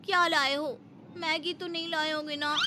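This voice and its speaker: Woman acting a high-pitched sulky young wife. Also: fairly even intonation, moderate pace, noisy background, close to an everyday voice. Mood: wary. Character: sulky, stubborn young daughter-in-law obsessed with food.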